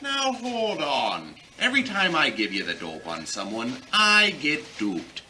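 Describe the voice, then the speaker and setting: Sharp, exasperated police desk officer. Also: male voice, a little high, wildly swooping pitch, a little slow, a little hiss or hum, heavily acted. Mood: grudging. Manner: brusque, weary, streetwise. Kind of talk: old-time radio comedy acting.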